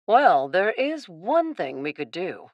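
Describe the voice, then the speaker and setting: A woman's voice: fairly low pitch, big pitch swings, slow, no echo, dull audio. A woman playing a falsely kind, scheming captain in a radio play, with a sugary, insincere manner. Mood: sly, coaxing.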